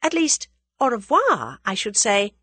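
A woman voicing a quick-witted young adventuress who is sweet, polite and teasingly composed. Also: high-pitched, sing-song, fairly bright tone, dry recording, voice pushed up, full character voice. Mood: politely cheeky.

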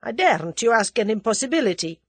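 A woman's voice: fairly high pitch, big pitch swings, fast, dry recording, pitched above the performer's own voice. A woman voicing a cowardly, venomous Russian aristocrat in formal, theatrical speech for an audiobook. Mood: fearful, sullen. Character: venomous, cowardly Russian aristocrat man, haughty then panicky.

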